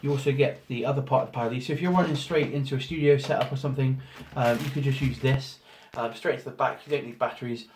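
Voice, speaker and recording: A man with a hoarse voice from a cold, casually talking through an unboxing with lots of ums and restarts. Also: mid-range voice, fairly even intonation, fast, few pauses. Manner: rambling, many ums and restarts. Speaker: photographer doing an unboxing. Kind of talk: casual product walkthrough. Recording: roomy echo, faint noise.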